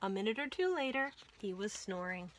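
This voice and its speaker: A woman's voice: mid-range voice, big pitch swings, a little fast, background noise. A woman narrating a children's story expressively as a storytime host.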